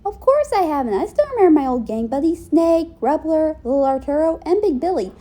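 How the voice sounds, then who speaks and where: A woman's voice: high-pitched, big pitch swings, a little fast, mellow, pitched above the performer's own voice. A woman voicing a brash, cocky male cartoon gang leader.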